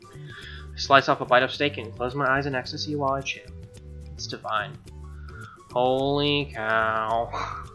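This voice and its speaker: Casual game streamer, forceful bright delivery, slangy commentary between narrated lines, faint music underneath. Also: mid-range voice. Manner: slangy, exclaiming, opinionated asides. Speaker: streamer reading a visual novel aloud. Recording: music behind the voice.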